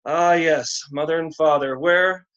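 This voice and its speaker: A man giving a slow, deliberate, encouraging astrology reading on a video call. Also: fairly high pitch, steady tone, a bit bright. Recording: dull lo-fi video call audio.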